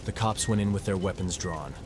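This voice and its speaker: A man's voice: sits low, brisk, very noisy, lightly acted. A man playing a shaken young medical student, narrating and acting in a horror audio drama in an earnest, somber way. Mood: grave. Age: young adult.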